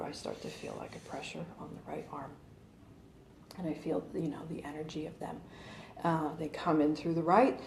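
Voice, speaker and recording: A woman's voice: fairly low pitch, warm tone. A woman in her forties explaining her channeling to a small group in a living room, chatty, with many fillers and restarts, recorded on a phone camera across the room. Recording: roomy with faint background hum.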